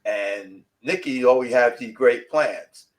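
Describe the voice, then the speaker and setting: New man, author guest, rambling casual storyteller, mid-pitched voice. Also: slow. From New York City. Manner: rambling storyteller, repeats himself. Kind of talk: casual interview chat.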